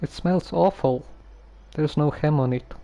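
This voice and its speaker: A man giving casual live gameplay commentary, haltingly muttering to himself as he plays. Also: fairly high pitch, creaky, mellow, slight background noise. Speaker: game streamer.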